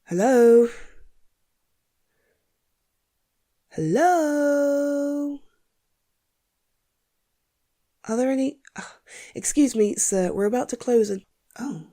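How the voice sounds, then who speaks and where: A woman playing a flustered, jumpy female librarian in an intimate audio roleplay, breathy and hesitant as she mutters to herself. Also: sits high, expressive, measured pace, mellow, voice pushed up. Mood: nervous. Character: flustered, jumpy young female librarian alone at closing time.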